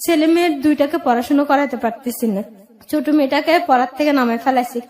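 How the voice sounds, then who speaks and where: A woman in her thirties with a Bangladeshi accent gives a personal account. Her voice is clearly high in pitch. She talks in a plain, colloquial way with a plaintive manner. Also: fast, darkish tone. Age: 30s. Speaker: guest telling her life story.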